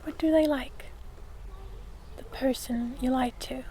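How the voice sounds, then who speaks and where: Woman playing a high, soft-spoken forest fae. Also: fairly even intonation, slow. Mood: kindly, helpful. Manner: soft-spoken, often whispering, hesitant, kindly. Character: gentle, curious, earnest forest fae woman. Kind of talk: ASMR fantasy roleplay. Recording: clear background noise of forest ambience.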